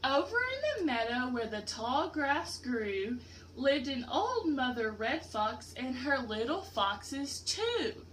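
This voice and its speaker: A woman teacher reads a picture book aloud in a high, lively voice. She goes slowly, pausing to explain things simply and question her pupils. There is some background noise. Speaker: teacher reading aloud to a class. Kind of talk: interactive children's picture-book read-aloud.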